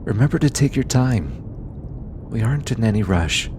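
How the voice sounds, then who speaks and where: A man playing a gentle, studious alien scientist in an intimate audio roleplay, soft-spoken and patient, hesitant when flustered. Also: fairly low pitch, lively intonation, moderate pace, background noise, natural voice. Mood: calm, focused. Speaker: voice actor. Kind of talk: intimate scripted audio roleplay, addressing the listener.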